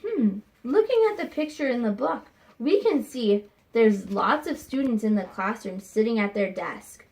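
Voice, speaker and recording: A woman teacher reading a picture book aloud to young students, expressive and pausing to think aloud with questions for them. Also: a little high, poor audio. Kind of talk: read-aloud lesson with questions to children.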